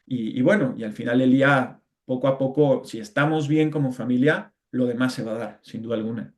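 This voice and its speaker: A man's voice: medium pitch, fairly quick. Mexican guy chatting in an interview, thoughtful, lots of little "no?" tags. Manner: reflective, frequent restarts and tag questions. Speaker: interview guest. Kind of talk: casual conversational interview.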